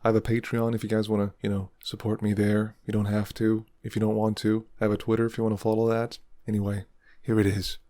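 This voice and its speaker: A man who makes ASMR roleplays, talking and narrating softly. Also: fairly low pitch, monotone, fast talker, no room sound, clean studio sound. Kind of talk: soft ASMR talk and storytelling.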